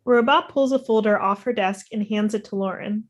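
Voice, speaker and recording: A woman's voice: mid-range voice, moderate pace. A middle-aged woman reading screen directions as plain scripted narration over a video call. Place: indoor room at home, video call. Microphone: computer mic on video call.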